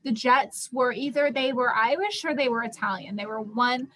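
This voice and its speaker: Young woman, high voice, casual group discussion leader, emphatic, conversational. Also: normal speed, fairly bright tone. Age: young adult.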